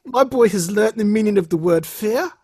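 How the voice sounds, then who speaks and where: A man talks as a podcast co-host in a dry, close sound. His voice has a husky grain. He reviews casually, like a storyteller among friends, repeating phrases to drive them home. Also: high-pitched, expressive, unhurried, a little mellow, dry recording. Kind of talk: casual chat recounting and reviewing a show.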